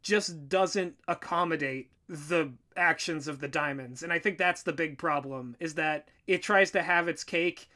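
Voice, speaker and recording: A man with a high, bright voice talks casually as a podcast co-host. He is opinionated and conversational as he lays out his critique. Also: unhurried, hardly any pauses. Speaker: podcast co-host and audio drama writer.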